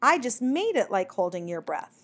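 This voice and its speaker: A woman's voice: mid-range voice, very animated, moderate pace, fairly bright tone, breathy edge. A woman voicing a shy, earnest little male bat poet in a storybook, with a timid, hesitant manner. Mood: bewildered.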